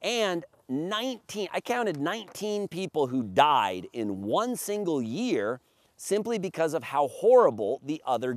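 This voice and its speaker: A man in his forties pitching his gear with a sales-pitch punch, his voice leaping up and down in lively swings. He stands out in an open field, and the sound is dry with no room around it. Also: dry recording. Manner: emphatic, salesman-like, reading points off a sheet. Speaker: paramotor maker demonstrating his gear. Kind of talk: promotional product walkthrough. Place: open grassy field outdoors by a fence. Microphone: camera at a few meters, standing and gesturing.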